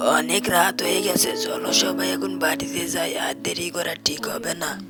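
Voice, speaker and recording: A woman voicing a wary villager with a gravelly voice in an animated horror story. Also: lowish voice, measured pace, over music. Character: wary villager warning of a ghost.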